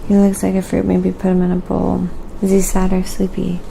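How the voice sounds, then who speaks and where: Woman, soft-spoken ASMR stream host. Low-pitched, heavy vocal fry, breathy near-whispers, casual musing delivery. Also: monotone, slight background noise. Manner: unhurried, musing aloud while working, thanking viewers. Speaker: livestream host, painter.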